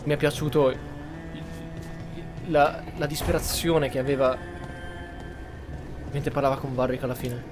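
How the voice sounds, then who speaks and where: A man's voice: medium pitch, steady tone, moderate pace, pauses often, music in the background. An Italian man giving casual commentary on a roleplay game playthrough. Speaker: game streamer.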